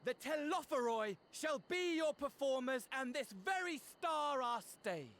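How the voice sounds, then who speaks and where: A man's voice: extremely high pitch, expressive, a little slow, a bit bright, exaggerated voice. A male actor playing a theatrical, unhinged doomsday villain in a video game, mock-courteous and mocking, swinging between a purr and a shriek. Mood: showman's flourish. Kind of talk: video game cutscene voice acting.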